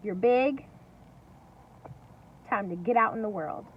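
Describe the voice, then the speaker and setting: A woman teacher reading a story aloud to young children, emphatic and singsong, pausing to ask questions. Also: mid-range voice. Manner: singsong, emphatic, asks questions and pauses for answers. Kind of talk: interactive storybook read-aloud. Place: at home. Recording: distant mic, lo-fi, faint noise.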